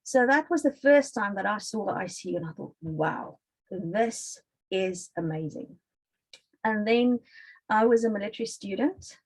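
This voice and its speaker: A woman's voice: medium pitch, expressive, unhurried, slightly rough, rough recording. South African woman giving a webinar talk. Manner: friendly, chatty storytelling with frequent ums. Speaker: guest speaker, ICU nurse. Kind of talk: webinar presentation.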